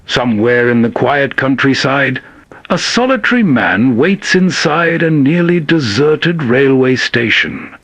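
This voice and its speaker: A man's voice: mid-range voice, expressive, measured pace, a bit bright, no echo. A man hosting with scripted, scene-setting storytelling narration. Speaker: host.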